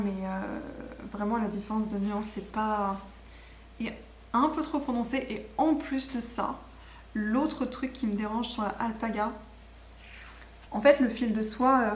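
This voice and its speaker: Woman chatting in an echoey room. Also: mid-range voice. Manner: enthusiastic, rambling, self-correcting. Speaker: knitting podcast host. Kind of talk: casual video podcast chat. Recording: echoey room with faint background noise.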